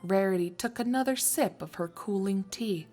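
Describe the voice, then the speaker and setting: A woman reading scripted story narration in an expressive, wry storytelling manner. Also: medium pitch, moderate pace, soft background music. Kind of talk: scripted fan fiction narration.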